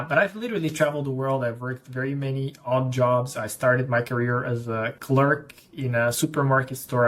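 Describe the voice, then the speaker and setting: A young man from Bulgaria delivers a rapid, energetic pitch straight to camera. His voice sits at an ordinary middle pitch, and he keeps up a brisk sales patter as a business coach.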